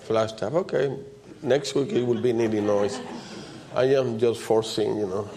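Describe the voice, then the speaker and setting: Loud-voiced man preaching informally. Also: fairly low pitch, fairly even intonation, normal speed. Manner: repeats short phrases for emphasis, with interjected amens. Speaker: preacher leading a church service. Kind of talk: informal, conversational sermon.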